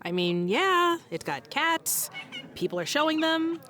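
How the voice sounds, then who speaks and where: A woman's voice: sits high, big pitch swings, a little slow, sharp tone, exaggerated voice. An actress playing an earnest, exasperated young woman with an insistent, matter-of-fact delivery in an audio comedy.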